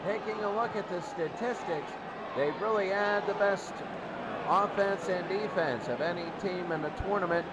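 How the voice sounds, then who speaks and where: Guy doing sports commentary, kinda high voice, running through stats, loud noise behind him. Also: normal speed. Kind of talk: unscripted statistics rundown before a game.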